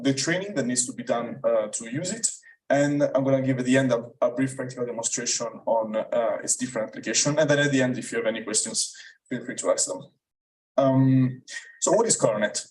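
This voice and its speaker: A man's voice: medium pitch, a little mellow. A young man from Italy with an Italian accent, giving a hesitant academic talk full of ums and uhs as a student presenter. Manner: hesitant, many fillers. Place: lecture room. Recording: voice from a distance in a lecture room.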